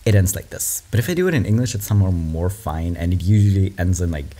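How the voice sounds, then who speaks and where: Guy, lively swoopy voice, reacting to memes, rambly and chill. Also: deep voice, fast. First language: German. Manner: rambling, animated, laughs often, swears. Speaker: video creator reacting to memes. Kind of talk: casual meme reaction chat.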